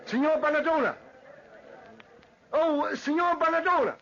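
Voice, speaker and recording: An actor in old-time radio drama playing a fussy, suspicious old magistrate who hems and haws pompously. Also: male voice, very high-pitched, moderate pace, bright, forceful tone, full character voice. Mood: puzzled, impatient. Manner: hemming and hawing, pompous. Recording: dull, lo-fi old radio recording.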